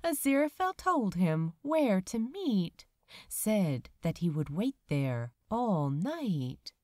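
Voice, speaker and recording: A woman reading fan fiction aloud as a narrator, with measured, expressive storytelling delivery. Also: medium pitch, dry recording, studio quality. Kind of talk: scripted audiobook-style narration.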